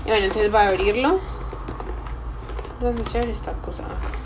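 A woman's voice: medium pitch, moderate pace, noisy background. A young woman chatting casually and a little unsurely to the camera as she works at a table in a room. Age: young adult. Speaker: vlogger. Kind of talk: casual chat to camera while cooking. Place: small indoor room, at a table.

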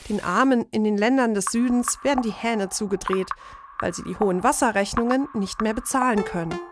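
Measured female narrator, noisy background. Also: medium pitch. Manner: measured, matter-of-fact. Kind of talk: scripted explainer narration.

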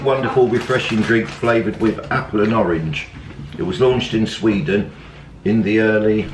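A middle-aged British man vlogging at home, chatting casually while tasting snacks and reading labels aloud. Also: mid-range voice. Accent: British English. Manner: chatty, reads labels aloud, quick asides. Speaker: family vlogger. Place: home kitchen, indoors. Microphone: camera close to face. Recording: echoey kitchen with background noise.

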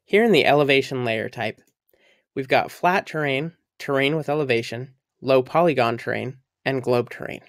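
A man narrating a screen-recorded software tutorial, explaining settings in a friendly, upbeat way. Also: mid-range voice, creaky, no echo, clean studio sound.

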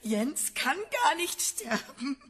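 An actress playing a sick, embittered scientist's wife in a radio drama, agitated, halting and insistent. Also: female voice, high voice, animated, a bit bright, exaggerated voice. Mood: unhinged, insistent. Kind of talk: German radio drama acting.

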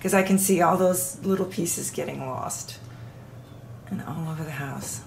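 A woman's voice: deep voice, a little slow, vocal fry, a little mellow. A woman chatting playfully beside a toy demo, adding comments and laughing. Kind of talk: casual chat. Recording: echoey noisy room.

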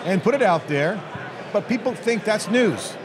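A man's voice: sits high, expressive, moderate pace. A middle-aged American man hosting an interview in a hotel hall at a conference, talking energetically and emphatically into a handheld mic. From United States. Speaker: interview host. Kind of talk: conversational interview. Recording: busy crowd chatter behind.

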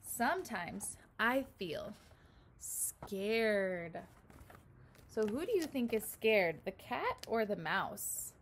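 A woman's voice: mid-range voice, extremely slow, slight background noise. Young woman reading aloud to small children. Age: 20s. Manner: simple, expressive explaining to small children. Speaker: pre-kindergarten teacher. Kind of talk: picture-book read-aloud with questions to young children. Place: small room at home, indoors.

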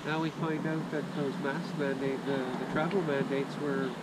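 Man, heated, ranting, fairly high voice, outdoors, loud street noise. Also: fairly even intonation, moderate pace. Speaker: passerby. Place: outdoors by a car on a residential street.

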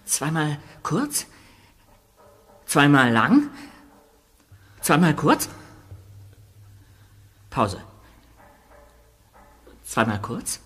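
A scared captive talks in a husky, breathy hush. She speaks slowly, and her pitch still moves a great deal. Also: huge pitch swings, heavily acted. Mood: hushed, tense. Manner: breathless, hushed, stammering.

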